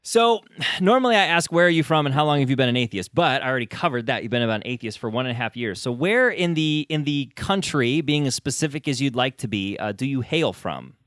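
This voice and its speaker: A man's voice: medium pitch, lively intonation, quick pace, a bit bright, no echo, studio quality. A man hosting a conversational interview podcast, speaking informally with emphatic phrasing.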